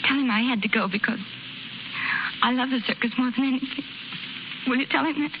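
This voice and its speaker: An actress in a 1930s radio drama playing a plucky young circus woman who speaks plainly and haltingly, with earnest feeling. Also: high voice. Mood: hurt, resigned. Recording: crackly, muffled 1930s radio broadcast recording.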